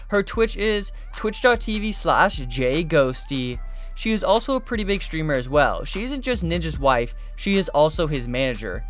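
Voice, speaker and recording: Upbeat high-voiced man narrating a countdown. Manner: energetic, says "you guys" often. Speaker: narrator of a list video.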